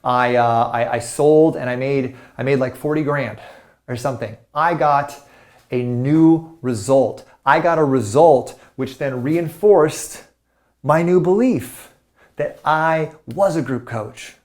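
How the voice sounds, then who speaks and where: A man's voice: medium pitch, takes it slowly. Male life coach, upbeat and chatty, mid-range voice, pep-talk energy. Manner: enthusiastic and conversational, fond of "right?" and "you know". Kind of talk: motivational coaching monologue.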